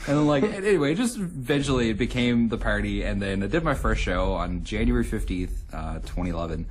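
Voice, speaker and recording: A man's voice: lowish voice, animated, a little fast, a little hiss or hum. A young man, a radio show co-host, chatting casually in an interview in a studio, rambling with lots of fillers. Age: young adult.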